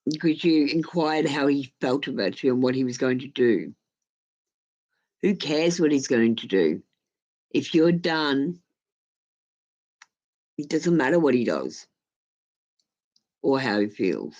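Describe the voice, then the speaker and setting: Female live-stream tarot reader, unhurried and soft-toned, informal and plain-spoken in her advice. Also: extremely low pitch, lively intonation, lo-fi sound. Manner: blunt, informal, sweary. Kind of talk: casual live tarot reading, giving advice.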